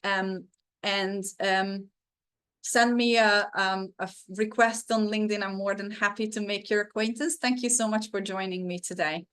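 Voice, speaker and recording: A woman's voice: mid-range voice, fairly even intonation, moderate pace, fairly bright tone, clear, resonant tone. A woman whose first language is Hungarian, presenting a teaching webinar over a video call in an earnest, encouraging way with frequent fillers.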